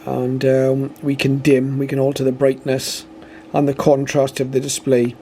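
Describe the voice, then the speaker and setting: A man's voice: medium pitch, monotone, normal speed, mellow, slight background noise. A British man giving an informal, matter-of-fact product demonstration, explaining methodically step by step. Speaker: radio reviewer and demonstrator.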